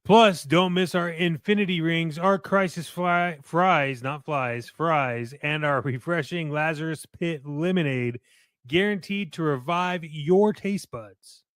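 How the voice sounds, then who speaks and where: A man's voice: on the high side, slow, a bit bright, clean tone, no echo, clean studio sound. A man playing an upbeat commercial announcer, reading a scripted radio ad with salesy emphasis. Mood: excited, salesy.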